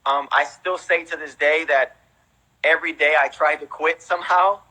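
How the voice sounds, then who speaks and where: Bright-voiced American man, casual storyteller. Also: on the high side, steady tone, moderate pace, poor audio. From raised in Houston, United States, born in Mexico. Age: 30s. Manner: informal, peppers talk with "you know" and "man". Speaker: interview guest, veteran breakdancer.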